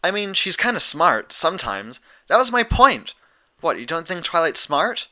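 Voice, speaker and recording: Dude voicing a cocky girl pegasus, brash n slangy, loud, raised pitch, really bright sound. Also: very animated, normal speed, exaggerated voice. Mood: defensive, smug. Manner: blunt, slangy, stumbling bluster. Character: brash, cocky young female pegasus pony.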